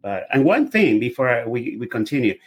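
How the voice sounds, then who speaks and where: A man's voice: mid-range voice, sing-song, a little fast, slightly rough. A middle-aged man from Venezuela with a Venezuelan accent, explaining things in a casual interview, with loose run-on sentences and restarts. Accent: Venezuelan Spanish. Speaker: guest, lab testing business owner.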